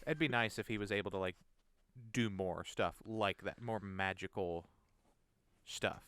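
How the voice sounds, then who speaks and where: A man's voice: fairly low pitch, unhurried, fairly bright tone, clear, resonant tone. A man co-hosting a casual gaming podcast, making blunt, dry jokes. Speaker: podcast co-host. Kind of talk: casual chat.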